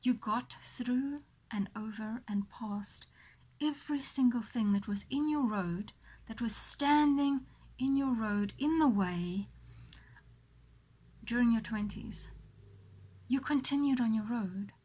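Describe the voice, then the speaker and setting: Husky, higher-pitched woman guiding a visualisation. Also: dark tone. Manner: deliberate, with pauses and repeated phrases. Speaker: host leading a guided visualisation. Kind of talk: guided visualisation, motivational coaching.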